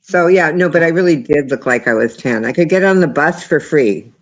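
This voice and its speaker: A woman with a fairly low voice for a woman, talking casually from home as host of an online chat. She tells stories in an animated way and laughs often. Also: fairly quick, poor audio.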